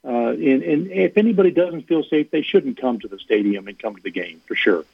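A man's voice: mid-range voice, lively intonation, fairly quick, vocal fry, husky, no echo. A man guest on a sports radio show, giving earnest answers and repeating words for emphasis. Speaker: athletic director guest. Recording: phone line.

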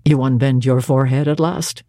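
A woman voicing a stern, cold young male clergyman who speaks in a grave, dry, measured way. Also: very deep voice, steady tone, mellow, no echo, voice pushed down. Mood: dryly teasing.

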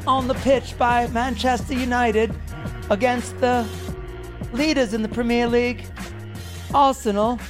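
A man's voice: very high voice, steady tone, a little slow, pauses often, over music. A man hosting a sports radio show, chatting casually and often tagging thoughts with "like" and "right?". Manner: conversational, frequent "like" and "right?" fillers.

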